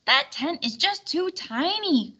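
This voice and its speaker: A woman's voice: sits high, expressive, slow, pushed and bright, dull audio, pitched above the performer's own voice, full character voice. Woman reading as a huffy, grumbling knight. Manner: huffy, complaining. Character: grumbling, impatient armored knight, a man.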